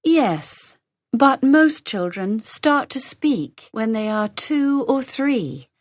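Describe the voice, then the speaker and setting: Woman, lesson dialogue reader: unhurried, pitch rising and falling a lot, dry close sound. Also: very animated, no echo. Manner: slow, carefully enunciated, lines read three times over. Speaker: dialogue reader for English learners. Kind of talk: scripted lesson dialogue, each line repeated.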